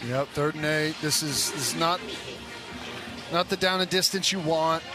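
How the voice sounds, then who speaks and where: A man's voice: a little high, fairly even intonation, moderate pace, over music. An American man giving informal, explanatory color analysis on a live football radio broadcast.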